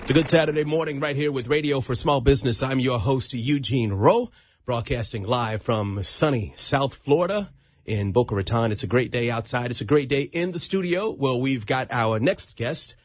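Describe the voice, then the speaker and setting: A man's voice: mid-range voice, moderate pace, dull audio. A man hosting a live radio talk show, affable and eager as he interviews a guest. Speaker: radio host.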